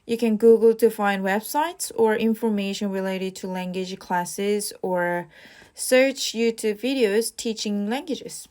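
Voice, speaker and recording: A young woman speaking English, reading out speaking-test questions and answering each one. She talks slowly and evenly, at a middling pitch, with rehearsed fillers like "well" and "you know.". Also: a little mellow. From South Korea. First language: Korean. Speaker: IELTS practice test speaker. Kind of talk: model answers for a speaking exam.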